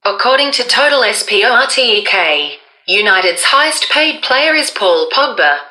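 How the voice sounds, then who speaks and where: A woman's voice: fairly high pitch, sing-song, moderate pace, pushed and bright, reverberant. A synthetic woman's voice as a phone assistant reading out answers, played through a phone speaker.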